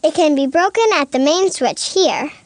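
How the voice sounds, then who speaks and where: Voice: sits high, moderate pace, dry recording, lightly acted. An actor voicing a matter-of-fact science expert in a cartoon, explaining things in a dry, instructive way. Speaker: expert witness. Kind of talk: animated comedy dialogue, explaining science.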